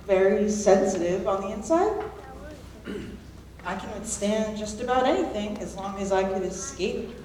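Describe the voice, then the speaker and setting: A woman's voice: medium pitch, natural voice. Woman acting a tough prisoner in an echoey room. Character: hardened, curt, bookish former runaway woman prisoner. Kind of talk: live staged reading of a musical. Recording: very echoey room, far mic, faint noise.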